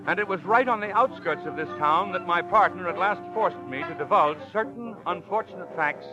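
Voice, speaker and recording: Radio drama male actor as sly old swindler: florid, animated, slightly high voice, muffled vintage recording. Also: natural voice. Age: older. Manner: pompous, florid, flustered when challenged. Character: sly, wordy, self-confessed crooked old con man. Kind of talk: 1940s radio drama acting. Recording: dull vintage radio recording, loud music.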